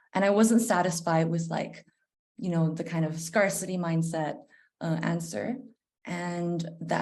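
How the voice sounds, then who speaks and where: Female guest speaker from Malaysia, twenties, warm dark timbre, informal conversational talk from home. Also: on the low side. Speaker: guest presenter, researcher. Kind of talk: informal online presentation. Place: living room at home, indoors. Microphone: video call, laptop.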